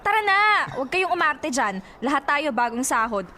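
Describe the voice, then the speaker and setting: A woman's voice: sits high, animated, moderate pace, sharp tone, a little hiss or hum. A woman acting a brash, fun-loving young office worker in a radio drama, teasing and exclamatory. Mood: breezy, coaxing.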